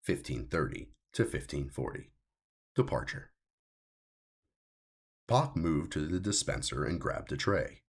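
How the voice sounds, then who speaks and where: A man reading his own science fiction story as scripted audiobook narration, in a measured, deliberate way with a gravelly, husky voice. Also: very deep voice, big pitch swings.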